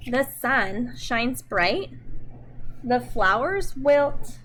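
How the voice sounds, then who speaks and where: A woman reads a story to young children in a high, very lively voice. She takes her time, stressing words expressively and keeping things simple for small listeners. A little background noise sits behind her. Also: rough recording. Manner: expressive, simple child-directed delivery with dramatic pauses and emphasis. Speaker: storyteller reading a picture book to young children. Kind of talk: children's read-aloud storytelling.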